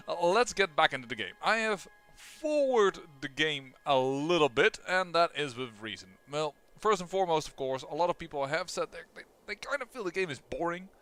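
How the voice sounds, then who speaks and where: A young man with a Dutch accent, casually commentating his own strategy game playthrough, excitable and quick, often restarting his sentences. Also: a little high. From Netherlands. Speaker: let's play host.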